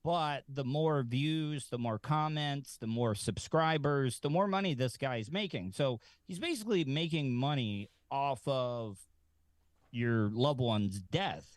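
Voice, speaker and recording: Casual man, mid-pitched, in a dry room. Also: takes it slowly, no room sound. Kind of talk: casual chat.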